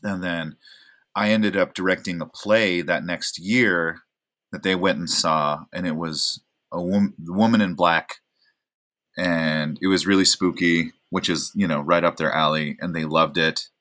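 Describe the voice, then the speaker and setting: A man's voice: deep voice, fairly even intonation, unhurried, fairly bright tone. A man in his thirties, an actor from Oregon, chatting casually as a podcast guest, rambling and self-deprecating with many fillers. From Oregon, USA. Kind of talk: casual interview chat.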